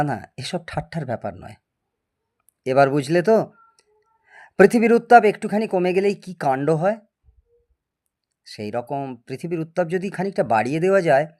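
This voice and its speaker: A man's voice: a little high, animated, normal speed, pauses often, a little mellow, natural voice. A man playing a boastful, eccentric older scientist in an audio story, lecturing and teasing in a grandiose way. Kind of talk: dramatised audio story acting.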